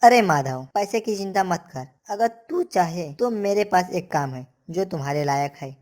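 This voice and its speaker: A woman's voice: fairly low pitch, expressive, moderate pace, a little mellow, close to an everyday voice. A woman voicing a kindly, generous male village merchant in a cartoon story, reassuring and brotherly.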